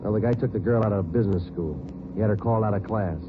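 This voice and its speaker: Un homme jouant un sergent laconique, pince-sans-rire. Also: male voice, lowish voice, fast, lightly acted. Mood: terse. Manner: clipped, flat, matter-of-fact. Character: terse, deadpan police sergeant. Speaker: homicide detective sergeant and narrator. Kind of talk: 1940s radio acting. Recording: tinny hissy old radio broadcast.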